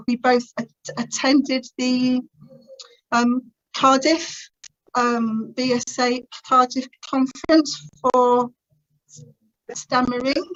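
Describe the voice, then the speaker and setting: A woman's voice: on the high side, fairly bright tone, muffled recording. A woman in her fifties giving a personal conference talk over a video call, stammering with repeated sounds and blocks. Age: 50s. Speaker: conference speaker.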